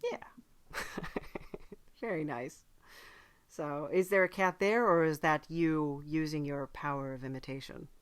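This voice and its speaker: A woman hosting a podcast in casual chat, with a dry, playful deadpan humour. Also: low-pitched, a little slow, pauses often, smooth. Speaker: podcast host.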